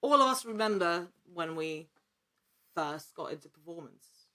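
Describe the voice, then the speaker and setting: A woman speaking as an interview guest, chatting casually with halting, emphatic repetition. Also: on the low side, lively intonation, pushed and bright. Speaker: actor and podcast guest.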